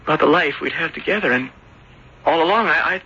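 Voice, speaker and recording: An actor playing an earnest, lovesick young seafarer back home, speaking haltingly in radio drama style. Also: male voice, on the high side, pushed and bright, husky, heavily acted. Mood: hurt, agitated. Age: young adult. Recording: dull, noisy old radio broadcast.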